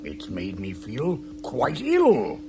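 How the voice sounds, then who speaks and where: An actor playing a pompous, self-pitying tyrant of a starship captain, slow, imperious and gravelly, in a 1980s radio science-fiction drama. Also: male voice, mid-range voice, wildly swooping pitch, background noise. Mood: self-pitying, commanding.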